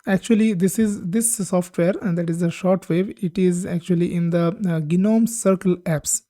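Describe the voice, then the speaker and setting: Woman, lowish voice, mellow and warm, dry close mic, hesitant tutorial delivery with fillers. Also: fairly even intonation, moderate pace, dry recording. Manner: hesitant, many "uh" fillers, simple phrasing. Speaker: tech tutorial host. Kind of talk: screen-recorded software walkthrough.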